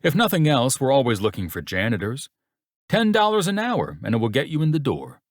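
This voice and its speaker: Audiobook villain: rich older businessman, played by a male narrator, low-pitched, smug, unhurried and contemptuous, close dry sound. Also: expressive, dry recording. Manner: condescending, unhurried, taunting. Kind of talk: audiobook character dialogue.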